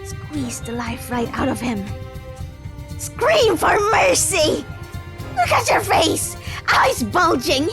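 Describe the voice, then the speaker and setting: Casting: a vain, self-loathing sea monster, very high piercing female voice, theatrical and vicious, swinging widely. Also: very animated, takes it slowly, over music, heavily acted. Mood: sadistic fury. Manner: vicious, theatrical shrieking and gloating. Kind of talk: visual novel dub, melodramatic voice acting.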